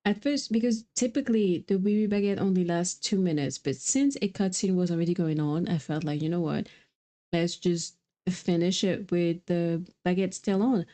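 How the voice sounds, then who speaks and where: Dark-toned woman streaming games casually. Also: lowish voice, normal speed. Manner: playful, informal. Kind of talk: casual livestream game commentary.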